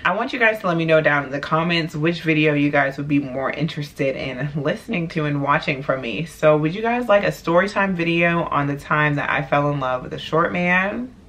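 A young woman with a deep voice chatting casually about her clothing haul in her bedroom, playful and laid-back. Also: lively intonation, a little fast, few pauses, a bit bright. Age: young adult. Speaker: vlogger. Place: small bedroom, indoors. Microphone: camera at desk distance.

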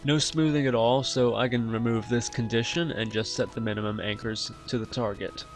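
Man narrating a step-by-step software tutorial. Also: medium pitch, steady tone, brisk, faint music behind. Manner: instructional, step by step. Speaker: tutorial host. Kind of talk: software tutorial narration over screen recording.

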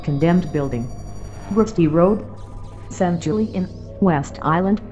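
A woman's voice: fairly low pitch, takes it slowly, darkish tone. A woman playing a clipped, impersonal announcer who gives scene locations in a science fiction audio drama. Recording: dull band-limited sound under music.

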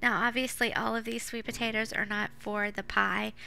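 Casting: female home-cook narrator, informal and chatty, crisp forward tone with vocal fry, over a music bed. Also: a little high, level tone, moderate pace, pushed and bright. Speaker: home cook, vlog host. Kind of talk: casual cooking voice-over. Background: background music.

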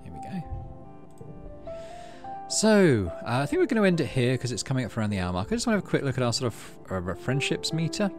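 A man hosting a gaming channel, low-key, casually commenting on play and reading story text aloud. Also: big pitch swings, a little fast, music in the background.

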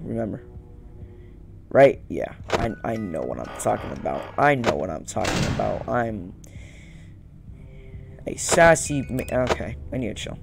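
A man's voice: medium pitch, creaky. A young man giving casual let's-play commentary, muttering to himself and repeating phrases. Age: young adult. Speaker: gaming YouTuber. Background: game music.

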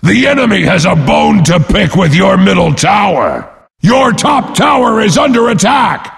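Boastful warrior brute, game announcer, gravelly, swooping pitch, pompous, bombastic, battle-hungry. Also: male voice, big pitch swings, unhurried, a bit bright, full character voice. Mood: alarmed bellowing. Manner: bellowing, pompous, speaks of himself in third person. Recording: long booming reverb.

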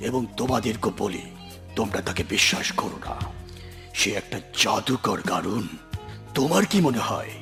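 A man's voice: lowish voice, animated, normal speed, gravelly, fairly bright tone, soft background music. A man voicing a proud, commanding emperor in a cartoon, declamatory and imperious. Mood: imperious, indignant. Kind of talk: dramatic cartoon voice acting.

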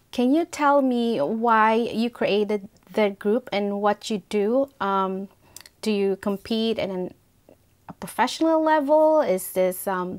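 Measured middle-aged woman hosting. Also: on the high side. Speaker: host. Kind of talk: scripted intro and interview questions. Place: indoor studio against a brick wall.

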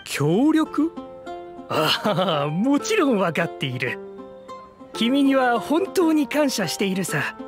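A man's voice: extremely high pitch, big pitch swings, slow, full character voice. A man playing a genial, businesslike landlord in a game, courteous and assured. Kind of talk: Japanese game voice acting. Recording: music behind the voice.

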